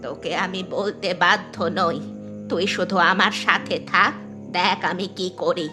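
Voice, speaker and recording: A woman's voice: lowish voice, steady tone, a little slow, over music, lightly acted. A woman voicing a caring but stubborn, easily irritated old grandmother in a Bangla cartoon. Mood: irritable.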